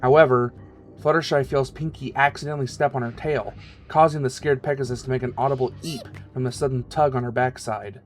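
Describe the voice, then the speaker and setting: Male narrator, mid-pitched, scripted storytelling with music underneath. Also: steady tone, brisk.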